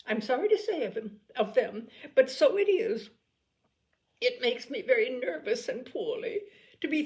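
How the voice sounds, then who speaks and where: A woman voicing a fretful, complaining middle-aged mother in an audiobook reading, speaking in a high, aggrieved tone. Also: a little mellow. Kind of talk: audiobook character voice.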